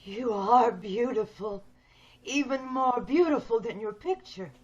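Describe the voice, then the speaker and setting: A dull, muffled recording of a woman acting a sinister radio-horror villainess. She speaks in a fairly high voice, measured and coolly polite, with menace beneath every courtesy as she schemes for beauty. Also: darkish tone, natural voice. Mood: controlled, calculating. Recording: dull video-call radio play recording.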